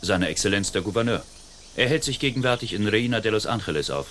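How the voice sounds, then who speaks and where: An actor playing a dutiful army sergeant in a German radio drama, speaking formally and curtly. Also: male voice, lowish voice, animated, fast, fairly bright tone, a little hiss or hum. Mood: curt, official. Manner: formal, curt.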